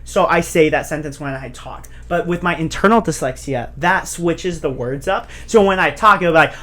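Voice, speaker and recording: A man's voice: fairly high pitch, normal speed, fairly bright tone. A man co-hosting a podcast in casual chat, joking and playfully cutting in. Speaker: podcast co-host.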